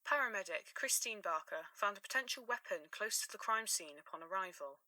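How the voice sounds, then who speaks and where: A woman's voice: mid-range voice, expressive, brisk, pushed and bright, no echo, far from the mic, poor audio. A woman playing a formal news reporter reading a scripted report in an audio drama. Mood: formal, neutral.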